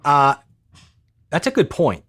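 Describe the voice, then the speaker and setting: A man's voice: a little high, wildly swooping pitch, slow pace, fairly bright tone, slightly rough, no echo. A man co-hosting a live stream about gear, chatting casually and jokingly with lots of restarts, recorded on a pencil condenser mic in a home studio. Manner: joking, rambling, frequent restarts. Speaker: co-host of a tech live stream.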